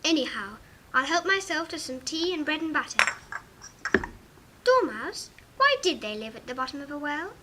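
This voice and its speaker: A woman's voice: high-pitched, very animated, normal speed. A woman playing a polite, puzzled, easily indignant little English girl with prim RP speech in a 1950s storybook recording. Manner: prim, precise, questioning. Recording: noisy vintage 1950s record.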